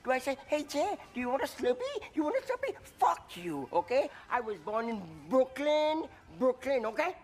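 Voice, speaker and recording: A furious man pushes his voice high and lets it swing wildly. He is indignant and hurls insults with heat. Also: very animated, moderate pace, few pauses, a little mellow. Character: angry man insisting on his accent.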